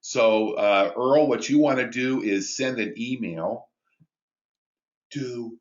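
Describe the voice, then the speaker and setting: A man with a big booming voice hosting a live business training call from a small studio, reading out viewer questions and explaining sign-up steps. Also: slow pace, roomy sound, muffled recording. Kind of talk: live webinar training talk.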